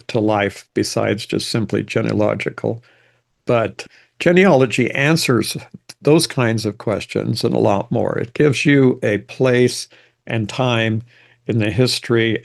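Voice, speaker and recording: Older man with a very gravelly voice. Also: mid-range voice. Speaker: genealogy presenter. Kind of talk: informal lecture.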